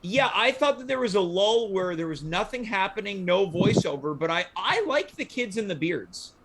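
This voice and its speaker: A man's voice: high voice, animated, normal speed, background noise. A man from Quebec hosting a casual panel chat, inquisitive and steering the conversation. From Quebec, Canada. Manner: inquisitive, moderating. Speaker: talk show host.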